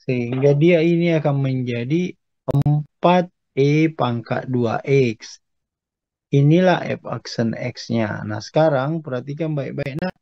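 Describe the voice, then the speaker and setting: A man's voice: medium pitch, takes it slowly. A man giving a step-by-step maths lesson as a lecturer, patiently explanatory and often checking that students follow. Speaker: mathematics lecturer. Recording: echoey room, dull muffled sound.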